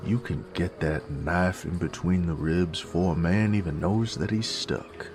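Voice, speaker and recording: Gravelly, deep werewolf gunslinger. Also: male voice, music in the background. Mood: wry menace. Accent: American Southern. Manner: slow sardonic folksy drawl. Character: gruff male werewolf bounty hunter, drawling Old West gunslinger. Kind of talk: Western audio roleplay acting.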